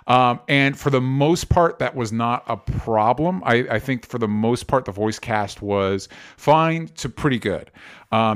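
A man's voice: mid-range voice, a bit bright. Opinionated man in casual, sweary film chat. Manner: argumentative, emphatic, acts out imagined exchanges. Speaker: co-host of a film review show.

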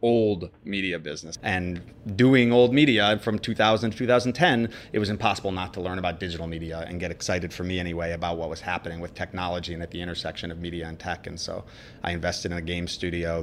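A man's voice: deep voice, fairly bright tone, a little hiss or hum. A man speaking as an interview guest, thoughtful and often restarting his sentences as he recalls his career. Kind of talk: casual interview.

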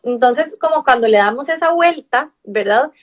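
A woman's voice: high voice, lively intonation, moderate pace. A young adult woman from Costa Rica with a Costa Rican accent, a nutritionist guest explaining patiently and often tagging sentences with a questioning aside. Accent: Costa Rican Spanish. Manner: explanatory, fills with rhetorical "¿verdad?". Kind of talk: conversational interview.

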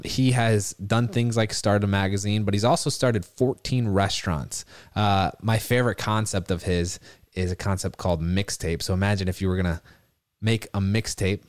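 A young man with a fairly low voice hosts an interview podcast. He asks friendly, measured questions and sometimes restarts a phrase. The sound is close and dry. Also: no room sound. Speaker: podcast host.

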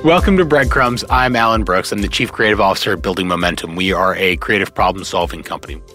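A man's voice: sits low, quick pace, music in the background. A man hosting a podcast, reading an earnest, reflective introduction to the show and its guest. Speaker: podcast host.

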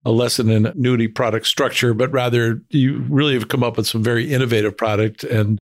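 A man's voice: mid-range voice, fairly even intonation, fairly quick, no echo. Dry-sounding male interviewer. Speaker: interviewer, host. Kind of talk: conversational business interview questions.

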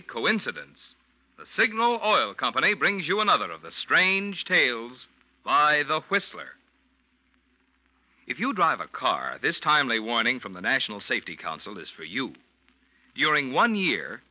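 A man's voice: a little high, no echo. An American man delivering a scripted sponsor message as a 1940s radio announcer. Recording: dull, dry vintage radio recording.

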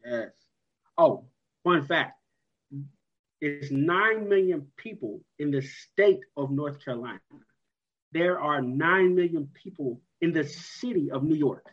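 A man's voice: on the high side, lively intonation, takes it slowly. A man hosting a casual chat, talking in an easygoing, chatty way. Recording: dull lo-fi sound.

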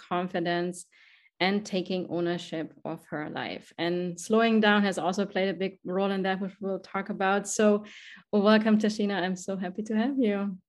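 A woman from Germany with a German accent, hosting a conversational interview as a podcast host. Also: mid-range voice.

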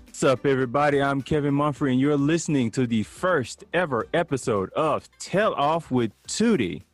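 A man's voice: medium pitch, normal speed, faint music behind. A laid-back man hosting a podcast interview, tossing out short casual questions. His voice sits in a middle register. The recording is dry and close, with no room echo.

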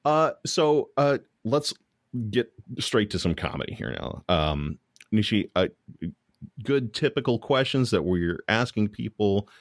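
An American man hosting a casual comedy interview, feeling his way haltingly through rambling questions. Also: on the low side, sing-song, dry recording, studio quality. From United States. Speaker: show host, interviewer.

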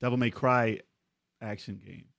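A man's voice: mid-range voice, steady tone, measured pace, vocal fry, fairly bright tone, no echo. A man co-hosting a gaming podcast, chatting casually with friends. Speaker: podcast co-host.

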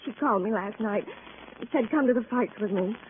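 An American actress playing an anxious, distraught young working woman in a 1940s radio drama, speaking in halting, emotional, repeated phrases. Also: mid-range voice, lightly acted. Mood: shaken, recounting. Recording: old radio recording, narrow band, hiss.

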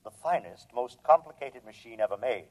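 An actor playing an arrogant humanoid robot from another planet in a 1950s radio drama, speaking formally and precisely with condescension. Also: male voice, medium pitch, animated, fairly quick, a little mellow, natural voice. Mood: proud, superior. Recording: dull vintage radio recording.

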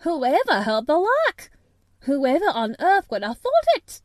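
A woman's voice: sits high, wildly swooping pitch, a little slow, no echo, studio quality, pitched above the performer's own voice, full character voice. A woman voicing an older housekeeper exclaiming in amazement in broad Yorkshire. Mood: astonished. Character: stern older housekeeper, astonished.

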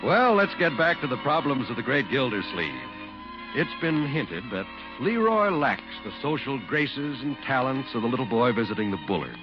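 A man's voice: medium pitch, very animated, normal speed, over music. A man announcing in a polished, emphatic 1940s radio advertising and narration style. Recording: dull vintage radio recording.

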